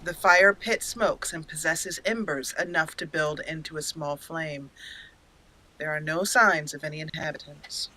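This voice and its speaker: Bright-voiced woman narrating a roleplay playthrough. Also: sits low, a little slow, low-quality recording. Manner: expressive storyteller, acting out lines. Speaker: let's play host and narrator. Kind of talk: roleplay storytelling over gameplay.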